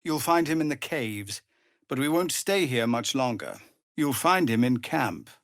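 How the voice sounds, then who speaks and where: An actor playing a weary, dignified middle-aged tiefling ex-soldier in a video game, speaking in a measured, formal and earnest way. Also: male voice, mid-range voice, lively intonation, no echo, clean studio sound. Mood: guarded, firm. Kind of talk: video game character dialogue.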